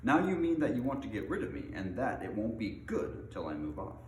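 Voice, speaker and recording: A man's voice: lowish voice, very animated, fairly quick, a little mellow. A man voicing an old wizard from a storybook, brusque, testy and commanding, with an emphatic, pedantic manner. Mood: pointed. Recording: roomy echo, faint background noise.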